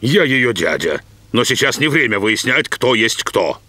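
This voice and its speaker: A man voicing a genial, burly middle-aged dog in a cartoon dub, hearty, courteous and expressive. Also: mid-range voice, a little slow, no echo, full character voice. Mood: patient. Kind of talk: cartoon dubbing.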